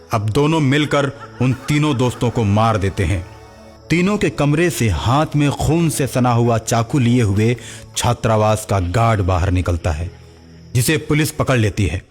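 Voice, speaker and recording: Male narrator, mid-pitched voice, scripted storytelling, music in the background. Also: animated, unhurried.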